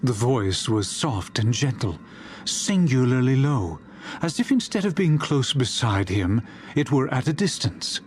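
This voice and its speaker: A man narrating a literary audiobook in a dramatic, theatrical style with deliberate emphasis. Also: mid-range voice, big pitch swings, a little hiss or hum.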